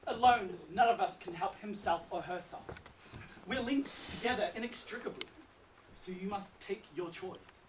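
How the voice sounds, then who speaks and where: A woman's voice: mid-range voice, normal speed, natural voice. Woman doing a serious dead guy stuck in hell, earnest n stagey, roomy echo, far from mic. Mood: earnest pleading. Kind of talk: stage drama acting. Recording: echoey room, distant mic, clear noise.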